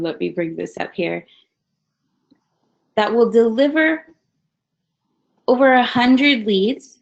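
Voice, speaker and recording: A woman's voice: mid-range voice, creaky. Friendly woman presenter in her thirties, from Hawaii, chatty delivery, dull web-call audio. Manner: friendly, conversational with frequent fillers. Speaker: guest presenter. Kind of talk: webinar presentation. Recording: slightly dull webinar audio.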